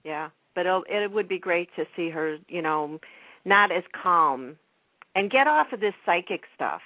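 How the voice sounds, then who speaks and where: A woman's voice: fairly low pitch, expressive, a little slow, no echo. A woman making casual, agreeable conversation on a radio talk show in an informal way. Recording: narrow phone-like radio sound.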